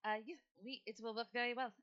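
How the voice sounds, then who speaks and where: A woman's voice: a little high, little pitch movement, quick pace, smooth, lo-fi sound, voice pushed up, close to an everyday voice. A woman playing an obliging, slightly weary spellcasting woman with a plain, matter-of-fact manner in a tabletop game. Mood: pleased.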